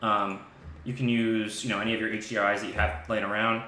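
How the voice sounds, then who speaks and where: A man with a bright, forward voice leads a casual software tutorial on a live stream. He thinks aloud as he demonstrates, in an informal way. The room is clearly echoey, with a faint layer of background noise. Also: on the low side, flat delivery, fast.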